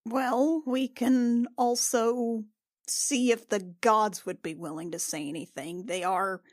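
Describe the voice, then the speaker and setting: A woman playing a tabletop roleplaying game, improvising casual in-character chat in a halting, sweary way. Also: fairly high pitch, steady tone, no room sound, studio quality.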